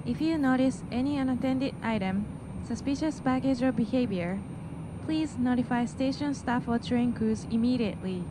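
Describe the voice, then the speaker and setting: A woman's voice: a little high, takes it slowly. A woman reading a scripted, recorded security announcement over a train carriage speaker. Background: train noise.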